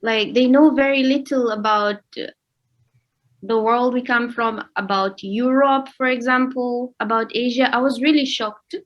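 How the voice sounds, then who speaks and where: A young adult woman from Namibia, a guest in a casual interview who explains things with pauses. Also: a little high, dull audio. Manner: relaxed, explains with pauses and laughs.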